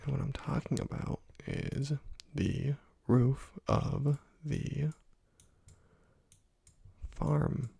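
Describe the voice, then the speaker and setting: A young man softly whispering slow, relaxed commentary while playing a video game, close to a desk microphone. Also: medium pitch, gravelly, a little hiss or hum. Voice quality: soft whispering, often unvoiced. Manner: slow, relaxed rambling between quiet pauses. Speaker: let's play host. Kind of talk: ASMR gameplay commentary. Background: faint keyboard and mouse clicks.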